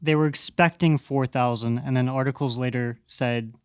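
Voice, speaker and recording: A man's voice: mid-range voice, steady tone, normal speed, clear, resonant tone, no echo, studio quality. A man co-hosting a sports podcast, chiming in with facts in a matter-of-fact way. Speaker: podcast co-host. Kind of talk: casual sports chat.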